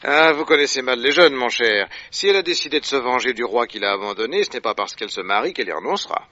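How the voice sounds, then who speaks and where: A man acting in a radio drama as a shrewd, commanding, self-assured detective with a brisk, sly manner. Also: mid-range voice, animated, sharp tone, no echo. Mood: assured explaining. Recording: dull, dry radio drama recording.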